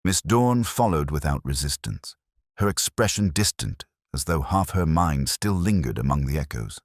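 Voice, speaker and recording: Very deep-voiced dramatic male narrator. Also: expressive, normal speed, no echo, studio quality. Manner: dramatic, grave, suspenseful. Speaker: story narrator. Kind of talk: scripted first-person mystery narration.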